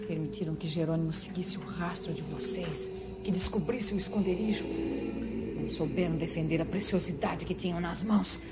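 A woman's voice: fairly low pitch, normal speed, lightly acted. A woman acting an imperious, contemptuous gang boss in a Brazilian telenovela, cutting and commanding in her delivery. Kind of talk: 1970s telenovela villain acting. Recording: old TV soundtrack under loud music.

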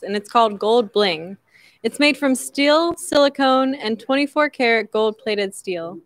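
A woman's voice: a little high, fairly bright tone, no echo. A woman in her thirties reading prepared announcements as an auction presenter into a handheld microphone on a covered outdoor stage. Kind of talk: announcing from a written script.

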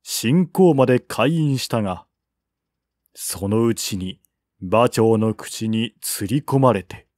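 Slow-paced male audiobook narrator. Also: lowish voice, expressive, darkish tone, no room sound. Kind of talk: scripted literary narration of a historical novel.

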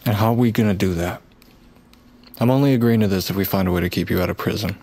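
Curt, weary, low-voiced man. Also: fast, a little mellow. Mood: wary. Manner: curt, sardonic. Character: weary, skeptical, curt man drawn into revenge. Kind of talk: naturalistic audio drama acting.

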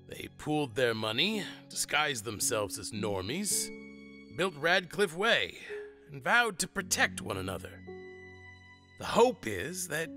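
An actor playing an earnest middle-aged monster father, telling family history with measured, dramatic pauses in an audio drama. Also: a little high, bright, forceful tone, exaggerated voice.

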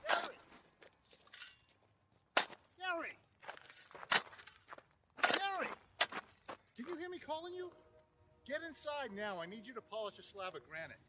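Bullying boss, high strained bark played by man. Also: very animated, measured pace, long pauses, heavily acted. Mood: irate. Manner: barking orders. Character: bullying, bellowing male boss. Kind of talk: audio drama acting. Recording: loud background noise.

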